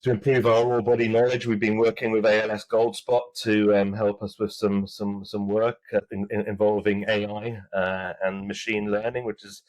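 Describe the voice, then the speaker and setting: Low-voiced man, halting interview answers. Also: level tone, darkish tone, dry recording, poor audio. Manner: halting, many restarts and fillers. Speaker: mining company executive, guest.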